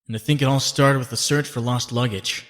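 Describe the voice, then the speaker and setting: A man's voice: medium pitch, fairly even intonation, a little fast, fairly bright tone, close to an everyday voice. A man playing a young superhero who narrates his inner thoughts in a comic book dub, reflective and confiding. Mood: uneasy, reflective. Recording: long cavernous reverb.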